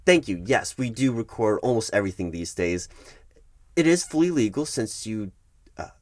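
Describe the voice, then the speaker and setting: Man playing a low-voiced, officious HR manager. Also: animated, normal speed, natural voice. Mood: officious, defensive. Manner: hesitant, bureaucratic, stammering over formal wording. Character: officious, politely evasive male HR recruiting manager. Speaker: ASMR roleplayer. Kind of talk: ASMR roleplay, one-sided office meeting.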